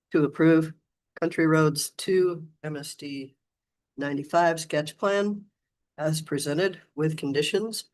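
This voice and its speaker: Hoarse woman chairing a meeting. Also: low voice, darkish tone, low-quality recording. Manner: brisk procedural phrasing. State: hoarse, losing her voice. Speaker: commission chair. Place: county meeting room.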